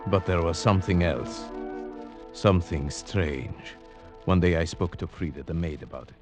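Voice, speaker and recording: A man with a very deep voice narrates an old-time radio thriller in a measured, suspenseful manner. Clear music plays behind him on the vintage recording. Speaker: narrator and lead actor. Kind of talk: 1940s radio drama narration.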